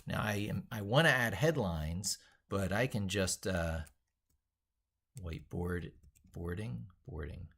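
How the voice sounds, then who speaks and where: A man's voice: sits low, expressive, slow pace, gravelly, fairly bright tone. A man giving an unscripted live-stream tech tutorial, rambling as he narrates what he does and leaning hard on his points. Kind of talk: unscripted live-stream tutorial, thinking aloud while working.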